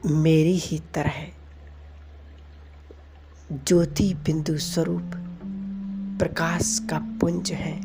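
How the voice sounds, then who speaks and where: Woman, meditation guide, low pitch, unhurried slow delivery, soothing devotional commentary, short phrases with pauses. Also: flat delivery, gravelly, mellow. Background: music.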